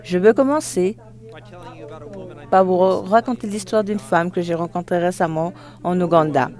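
Live interpreter doing a formal speech, mid pitched voice, goes phrase by phrase n corrects themself. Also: steady tone, a little mellow. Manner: halting, phrase by phrase with self-corrections.

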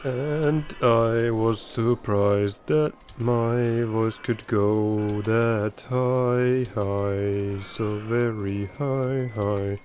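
A man's voice: on the low side, steady tone, drawn-out words, hardly any pauses, darkish tone, a little hiss or hum. A man thinking aloud in casual gameplay commentary, rambling and playful, recorded at home. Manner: rambling, playful, breaks into nonsense sounds. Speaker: let's play creator.

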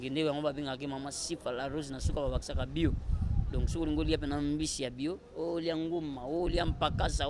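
Congolese guy chatting in an interview, voice a bit high, some street noise behind him on a handheld mic. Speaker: interview guest, comedian. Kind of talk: casual interview talk, switching languages. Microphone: handheld interview microphone. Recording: noisy outdoor handheld-mic recording.

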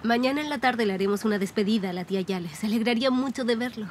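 A woman's voice: on the high side, very fast, a little hiss or hum, close to an everyday voice. A young woman dubbing a cheerful, polite young character in a TV drama. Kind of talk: Spanish dub of a TV drama.

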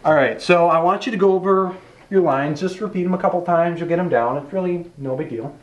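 A man's voice: a little high, a little fast, lightly acted. A man playing a bossy, exasperated amateur film director, argumentative and sarcastic, in a naturalistic comedic style. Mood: pushy, dismissive. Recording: echoey room, faint background noise.

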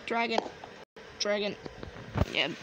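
A woman's voice: mid-range voice, lively intonation, slow. A woman improvising casual roleplay commentary while gaming, hesitant and muttering to herself, on a noisy home recording. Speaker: player in a game roleplay video.

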